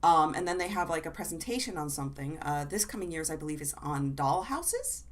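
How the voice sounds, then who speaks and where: A husky woman co-hosting a podcast, chatting casually from her desk with many fillers and restarts while fighting a cough. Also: low voice, very animated, a little fast. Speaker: podcast co-host. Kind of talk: casual chat. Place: home desk.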